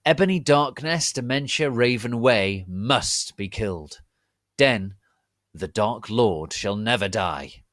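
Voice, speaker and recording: A man's voice: on the low side, animated, slow, a bit bright, dry recording, studio quality. A man voicing a cruel, haughty villainous male teacher with a declamatory, menacing delivery in a story reading.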